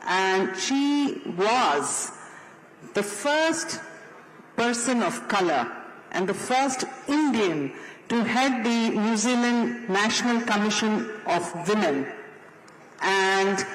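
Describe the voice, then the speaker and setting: A man moderating a panel, introducing a guest and asking her a question in a slow, formal manner. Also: high-pitched, fairly bright tone. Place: large conference hall. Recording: roomy hall with long reverb and faint noise.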